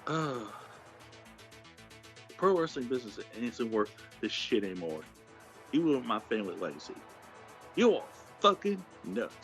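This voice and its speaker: A man's voice: mid-range voice, big pitch swings, measured pace, pauses often, a bit bright. A man playing a bitter, fed-up wrestling business veteran in an amateur comedy audio play, muttering in disgust. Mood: bitter, resigned. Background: music.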